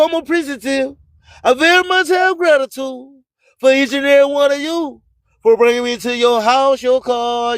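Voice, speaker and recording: A radio host with a very high voice for a man, speaking slowly and emphatically. His delivery is declamatory and clean, built on repeated catchphrases and motivational address. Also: no echo, clean studio sound. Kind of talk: motivational radio monologue with promotional shout-outs.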